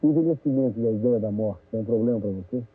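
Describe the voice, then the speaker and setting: A man with a Brazilian Portuguese accent, asking interview questions in a brisk, direct way. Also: mid-range voice, husky, soft background music. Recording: lo-fi 1980s TV broadcast with phone-like band.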